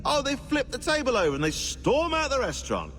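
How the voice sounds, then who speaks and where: An actor playing a snide, excitable, pedantic British historian who rambles sarcastically in a video game. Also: male voice, very high-pitched, fairly quick, noisy background, full character voice. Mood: indignant, animated.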